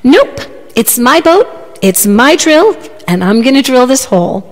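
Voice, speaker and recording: A woman storyteller voicing a stubborn fisherman who speaks in a calm, nonchalant way. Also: high voice, soft background music, full character voice. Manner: nonchalant, slow explaining. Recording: long hall reverb.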